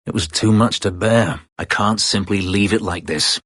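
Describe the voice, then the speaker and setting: A man playing a brooding, bitter escaped-slave elf warrior in a video game, terse, guarded and sardonic, with formal diction and a gravelly low voice. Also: moderate pace, a bit bright, no echo, clean studio sound. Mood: bitter, haunted. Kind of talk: video game character dialogue.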